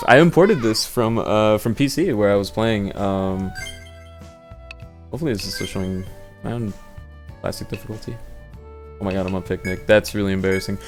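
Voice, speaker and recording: A man giving an unscripted, casual gaming showcase, rambling and thinking aloud as he goes. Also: lowish voice. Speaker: video creator demonstrating handheld gaming.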